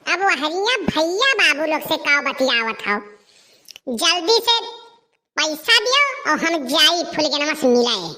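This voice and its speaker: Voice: sits high, big pitch swings, slow pace, pushed and bright, exaggerated voice. A performer voicing a cheeky, scheming village boy in a comic cartoon, whining and insistent, with an Awadhi accent. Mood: cheeky, threatening. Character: cheeky scheming village lad, lovestruck, blackmailing his uncle.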